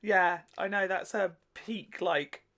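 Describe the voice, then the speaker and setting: A woman's voice: mid-range voice, fairly even intonation, slow pace, a bit bright. A young woman chatting casually as a reaction show co-host, on a desk microphone in a small room at home.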